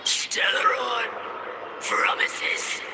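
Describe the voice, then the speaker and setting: An actor voicing a menacing monstrous shadow in a video game, with a gravelly voice and slow, halting, commanding proclamations. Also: male voice. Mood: ominous, commanding. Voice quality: gravelly, reverberant. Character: menacing monstrous shadow echo of a past guardian. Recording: noisy, long echoing reverb.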